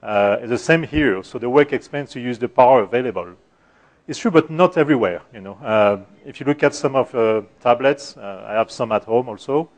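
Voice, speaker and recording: Soft-spoken French-accented man presenting. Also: medium pitch. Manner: halting, many fillers and restarts. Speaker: conference speaker. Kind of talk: technical conference talk. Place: conference room.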